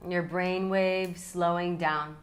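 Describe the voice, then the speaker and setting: Very slow, low-pitched woman guiding meditation. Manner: calm, soothing, unhurried with long pauses. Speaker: guided meditation teacher. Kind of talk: guided yoga nidra meditation.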